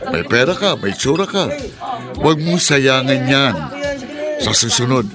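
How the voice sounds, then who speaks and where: A man's voice: medium pitch, very animated, unhurried. A man playing a carefree, persuasive Filipino gambler, a bad-influence friend in a radio drama. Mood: coaxing, carefree. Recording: loud music under the voice. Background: loud music.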